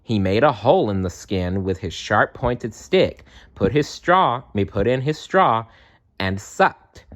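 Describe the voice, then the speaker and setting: A man reading a children's story aloud in an expressive narrating style. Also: on the low side, measured pace. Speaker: storybook reader.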